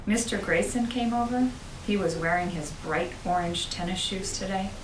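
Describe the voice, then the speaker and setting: A woman's voice: medium pitch, normal speed. Roomy, distant woman reading a children's story. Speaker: school principal reading aloud. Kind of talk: children's audiobook narration. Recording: roomy, distant, noisy home-style recording.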